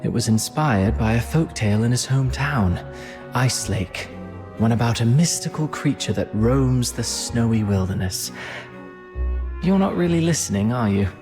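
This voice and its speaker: An actor playing a suave aristocratic prince in a video game, wry and caring, with refined, theatrical formal diction. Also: male voice, medium pitch, expressive, moderate pace, close to an everyday voice. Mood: gentle, reflective. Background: background music.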